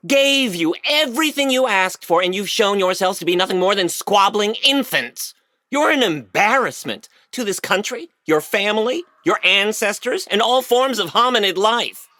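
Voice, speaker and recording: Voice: mid-range voice, lively intonation, normal speed, bright, forceful tone, dry recording. An actor playing a menacing, haughty party official in an audio play, with a pompous, theatrical and mocking delivery. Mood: scornful.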